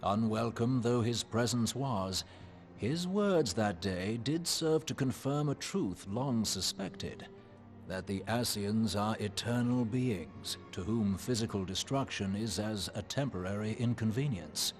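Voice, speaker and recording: A man's voice: fairly low pitch, lively intonation, measured pace. An actor playing a solemn male scholar in a fantasy game, with grave, formal, archaic diction. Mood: grave, measured. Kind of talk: theatrical fantasy game dialogue. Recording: loud music under the voice.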